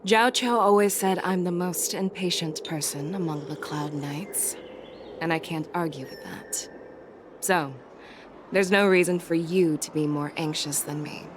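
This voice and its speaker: A woman's voice: lowish voice, measured pace, a little hiss or hum, natural voice. A woman voicing a seasoned female warrior general in a game, composed, assured and wryly teasing. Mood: steady, wry. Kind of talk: acted game story dialogue.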